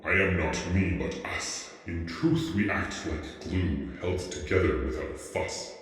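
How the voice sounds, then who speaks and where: A man playing a solemn male sphinx reciting an ancient riddle in grand, formal, theatrical style, with heavy reverb on the voice. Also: unhurried. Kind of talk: theatrical recitation in ASMR roleplay. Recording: booming long cavernous reverb.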